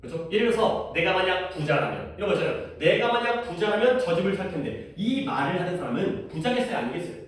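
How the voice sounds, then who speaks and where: A man's voice: high-pitched, very animated, fast talker, few pauses. A man teaching a middle-school English grammar lesson in a casual, explaining way, often checking in with his students with questions. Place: classroom. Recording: echoey classroom from far off.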